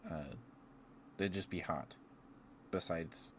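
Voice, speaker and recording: A man with a lowish voice chats in a casual ASMR style, speaking very slowly. He muses playfully out loud and lets thoughts drift, over clearly audible ambient noise. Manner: playful, musing aloud with trailing thoughts. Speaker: ASMR livestreamer. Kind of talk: ASMR, casual rambling chat.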